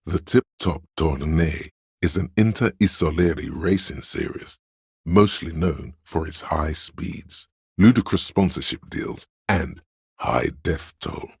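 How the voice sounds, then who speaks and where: Very deep, husky, pedantic encyclopedia voice. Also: male voice, no room sound, full character voice. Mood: matter-of-fact. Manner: erudite, measured, matter-of-fact lecturing with dry asides. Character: learned, pedantic inner voice of encyclopedic trivia in a detective's mind. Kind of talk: scripted video game narration of trivia.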